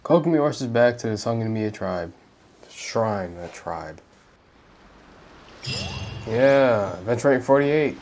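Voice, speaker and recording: A woman's voice: extremely low pitch, expressive, slow pace, pauses often, a little mellow, slight background noise. A woman giving casual, informal, offhand commentary while playing a video game. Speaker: gaming streamer. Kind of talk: casual reactive playthrough commentary. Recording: game music behind the voice.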